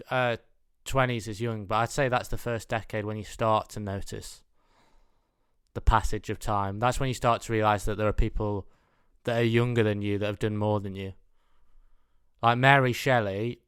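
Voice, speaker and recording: A man's voice: lowish voice, fairly even intonation, moderate pace, a bit bright, clear, resonant tone, no room sound, studio quality. A man in his mid twenties with a British accent, giving a rambling, dryly mock-earnest comic monologue. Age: mid 20s. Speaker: comedian, host of own show. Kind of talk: comedic monologue.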